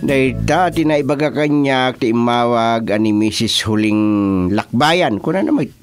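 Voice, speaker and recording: A male actor with an Ilocano accent playing an excitable, joking village senior in a rural comedy radio drama. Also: mid-range voice, moderate pace, music in the background, natural voice. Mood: teasing, amused. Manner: teasing, animated, colloquial.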